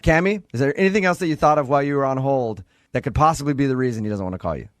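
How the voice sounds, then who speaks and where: Guy hosting morning radio, talks really fast, jokey banter, dry close studio sound. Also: medium pitch, dry recording. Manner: playful, joking, self-deprecating. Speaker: morning radio show host. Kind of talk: joking radio banter and phone interview.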